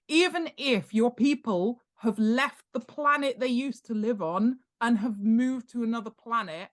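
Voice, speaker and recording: A middle-aged woman talking informally as an expert guest, explaining with emphasis and checking in with the listener. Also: on the high side. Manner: animated, emphatic, checks in with "right?" and "okay?". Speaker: guest author and world-building expert. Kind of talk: informal explanatory interview talk.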